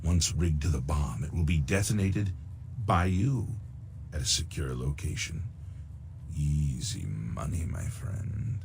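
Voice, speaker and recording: An actor playing a suave, sinister middle-aged recruiter in a video game, speaking in a pompous, unctuous and deliberate way. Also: male voice, rumbling low, expressive, gravelly, a little mellow, a little hiss or hum, heavily acted. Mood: conspiratorial, persuasive. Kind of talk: theatrical video game dialogue.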